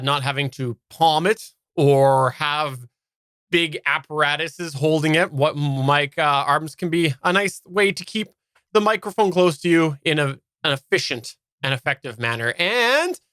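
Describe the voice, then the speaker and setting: Bright-voiced man co-hosting a podcast. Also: a little high, no echo. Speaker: podcast co-host. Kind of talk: casual live podcast chat. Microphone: close microphone on a boom arm.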